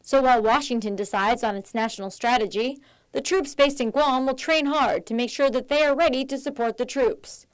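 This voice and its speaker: A woman's voice: sits high, fairly quick, smooth, no echo. A woman delivering scripted television news reports as a field reporter.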